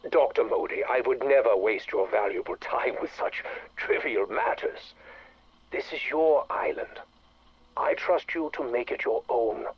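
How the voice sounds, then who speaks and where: A polished, ruthless benefactor of dark experiments in a game. His husky voice is formal and persuasive, with menace under the courtesy. The recording is dry and dull, like a radio log. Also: medium pitch, animated, measured pace, no echo. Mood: courtly, flattering. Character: smooth-talking, ruthless male patron of secret science. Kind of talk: dramatic game voice acting.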